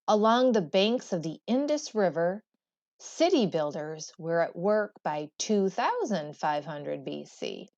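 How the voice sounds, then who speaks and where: Lady teacher reading a textbook out loud, really varied pitch, slightly dull lo-fi sound. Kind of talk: textbook read-aloud with brief asides.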